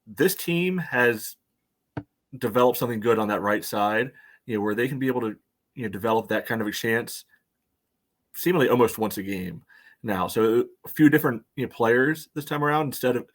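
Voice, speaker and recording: An American man co-hosting a casual soccer podcast, breaking down play in a halting way full of verbal tics. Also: mid-range voice. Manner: halting, peppered with "you know". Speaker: podcast co-host and soccer supporter. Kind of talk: casual sports analysis chat.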